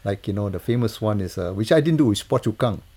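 Older man, gravelly mellow voice. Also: on the low side, expressive, quick pace. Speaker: guest, TV music composer and mixer. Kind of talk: casual interview, telling work anecdotes.

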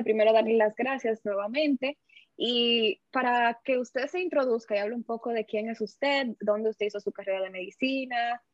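A woman's voice: a little high, no room sound. A woman co-hosting an interview, asking long, polite, formal questions with some hesitation. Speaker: interviewer, co-host. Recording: dull dry lo-fi sound.

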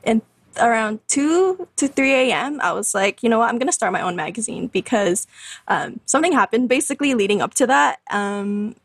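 A young woman in her twenties speaks as a podcast guest, telling her story in a chatty, animated way. Her fairly high voice has a gravelly fry running through it. The sound is close and dry, with no room around it. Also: normal speed, no echo. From Canada. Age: 20s. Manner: chatty, animated, many fillers and laughs. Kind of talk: casual interview storytelling.

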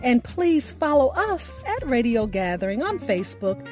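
A woman hosting a podcast, reading scripted announcements in a deliberate, carefully enunciated way. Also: sits high, sing-song, faint music behind. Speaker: podcast host.

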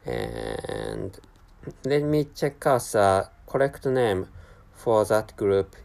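A man speaking English as a second language, talking alone in a halting way, with many restarts and self-corrections. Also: lowish voice, wildly swooping pitch, creaky, a little mellow. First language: not English. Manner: apologetic about his English. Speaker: commentator. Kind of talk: unscripted solo monologue.

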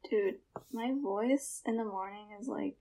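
A woman's voice: medium pitch, measured pace, dark tone. A teenage girl chatting casually and self-deprecatingly with friends on a podcast. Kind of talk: casual chat among friends.

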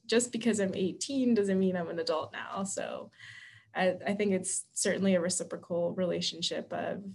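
A woman's voice: on the low side, moderate pace, gravelly, darkish tone. A woman on admissions staff giving earnest advice as a podcast guest, often restarting words, over remote call audio. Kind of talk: casual podcast conversation.

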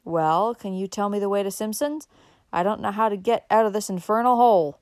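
A woman voicing an impatient, gruff man, a hunter on horseback, with curt exclamations in a storybook reading. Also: medium pitch, a little fast, darkish tone, no room sound, lightly acted. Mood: shouting, impatient.

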